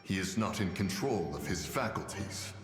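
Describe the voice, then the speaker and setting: A man's voice: sits low, animated, normal speed. A man voicing a grave, courteous, dignified immortal in a video game, stately and formal. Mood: calm, grave. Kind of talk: video game character acting. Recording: echoey reverb, faint music behind.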